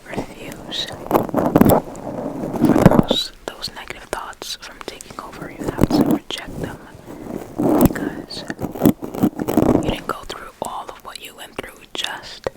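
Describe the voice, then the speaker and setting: Asmr person whispering right up on the mic, all breathy and slow, reassuring, repeats stuff softly. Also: poor audio. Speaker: ASMR performer. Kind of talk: ASMR personal attention roleplay. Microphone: close ASMR microphone.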